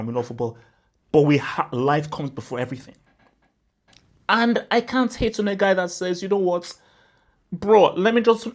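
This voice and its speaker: A young man from Nigeria who hosts a football channel, giving casual, emphatic commentary, often saying "bro" and stacking up exaggerations. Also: a little high. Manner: animated and emphatic, repeats "bro", spirals into exaggeration.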